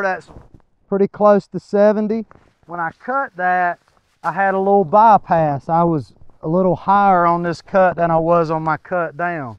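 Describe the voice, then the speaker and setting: A man's voice: high-pitched, clear, resonant tone, dry recording, muffled recording. A man instructing a group in tree felling outdoors, explaining step by step and checking in with his listeners. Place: outdoors in woodland.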